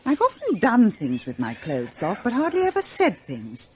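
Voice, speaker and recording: A woman's voice: mid-range voice, huge pitch swings, normal speed, slight background noise. An actress playing a bored, rebellious upper-class married mother in a radio play, with an English accent and an articulate, self-mocking, theatrical manner. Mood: arch, intense. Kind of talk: British radio play acting.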